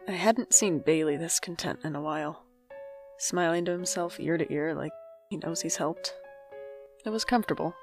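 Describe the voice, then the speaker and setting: A woman's voice: deep voice, moderate pace, faint music behind. A woman playing a guarded, wry young adult who is estranged from family, talking and narrating introspectively in an audio drama. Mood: reflective. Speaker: main character and narrator.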